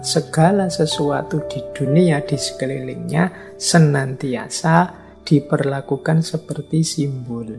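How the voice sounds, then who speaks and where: Hoarse, gravelly male lecturer. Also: a little high, a little mellow. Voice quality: slightly hoarse. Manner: conversational, acts out everyday remarks. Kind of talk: philosophy lecture in a mosque.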